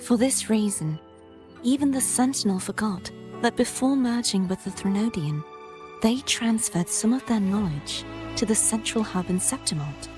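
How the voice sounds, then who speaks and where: An actress playing a solemn, dutiful young female warrior in a video game, speaking in a formal, measured way. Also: medium pitch, over music, close to an everyday voice.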